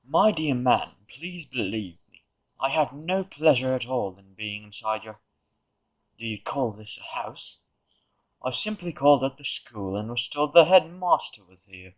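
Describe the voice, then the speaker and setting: A man's voice: medium pitch, animated, measured pace, low-quality recording. A man playing a smug aristocratic villain in an audio drama, drawling with sneering, mock-polite haughtiness. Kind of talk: fan audio drama acting.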